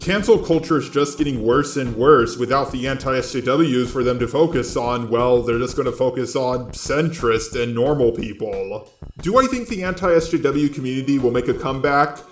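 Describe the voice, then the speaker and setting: A man's voice: mid-range voice, normal speed, roomy sound. A synthetic robotic male voice reading scripted video essay commentary. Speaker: host of a video commentary channel. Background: faint background music.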